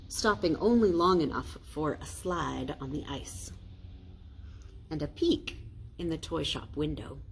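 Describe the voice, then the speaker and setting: An American woman, a pastor, reading a children's story aloud in a deliberate, explanatory way. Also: on the low side, poor audio. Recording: faint noise, ambient sound.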